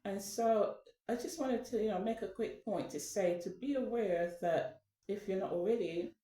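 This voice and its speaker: A woman's voice: mid-range voice, moderate pace, dark tone, recorded from a distance. A woman talking casually to her viewers, rambling with many fillers and restarts. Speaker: channel host. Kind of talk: casual spoken talk. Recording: echoey room, away from the mic.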